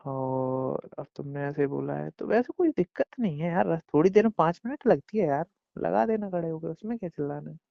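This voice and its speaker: Gravelly young man on a muffled call. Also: on the high side, expressive, fairly quick, hardly any pauses, dry recording. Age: young adult. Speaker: boyfriend. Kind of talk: casual intimate phone chat. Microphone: phone call. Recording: muffled lo-fi phone call recording.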